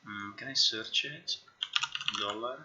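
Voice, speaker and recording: A man with a very bright, forceful voice talks casually through his work on a live stream. He is a non-native English speaker who mutters to himself, repeats phrases and swears freely. The recording sounds somewhat dull and lo-fi. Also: lowish voice, slow pace, slight background noise. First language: not English. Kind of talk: casual live stream chat, thinking aloud. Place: indoors at a computer.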